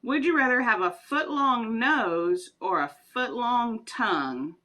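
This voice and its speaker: Chatty woman in her forties, bright voice, rambling and giggly vlog talk. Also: a little high, animated, slow pace. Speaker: vlogger. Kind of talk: casual chat answering would-you-rather questions.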